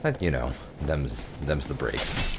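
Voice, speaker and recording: A young man with a deep voice, streaming a game and talking wryly into a close mic about his own failures. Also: expressive, slow pace, heavy background noise. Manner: self-deprecating, wry, muttering curses. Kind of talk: casual gameplay commentary. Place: home office. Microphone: close desk mic.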